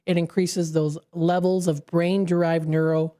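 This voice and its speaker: A woman hosting a radio segment speaks from the studio with a somewhat low voice and a mellow tone. She explains science to listeners, stopping often for fillers and small restarts. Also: level tone, measured pace. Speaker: radio host.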